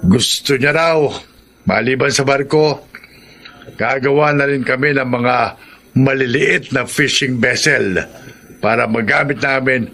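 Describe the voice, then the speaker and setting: A man's voice: medium pitch, steady tone, measured pace, bright, forceful tone. A man acting a cheerful young dreamer in a Tagalog radio drama, eager and playful as he talks. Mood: eager, hopeful. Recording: faint background noise.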